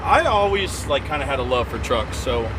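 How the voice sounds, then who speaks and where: A man's voice: medium pitch, big pitch swings, moderate pace. A man in his early thirties with an American accent, chatting casually and plainly as he drives a truck. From Virginia, United States. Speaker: truck driver and fleet owner, interviewee. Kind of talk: casual chat while driving. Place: inside a moving semi truck cab. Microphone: camera from the passenger seat. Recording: loud engine and road noise, off mic.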